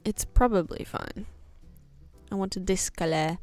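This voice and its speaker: A woman's voice: mid-range voice, a little slow, darkish tone, faint music behind. A young woman with a New Zealand accent chatting casually while drawing on stream, rambling with lots of fillers. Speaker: art streamer and English teacher.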